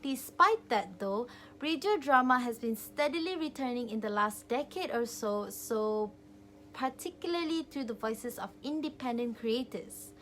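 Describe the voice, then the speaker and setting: Higher-voiced woman reading, faint background noise. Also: animated, unhurried, fairly bright tone. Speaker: student presenter in a group presentation. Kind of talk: scripted informational narration, read out.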